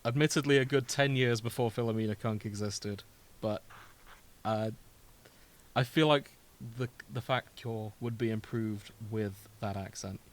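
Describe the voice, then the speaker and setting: A man's voice: on the low side, unhurried. A British man chatting casually as a podcast co-host.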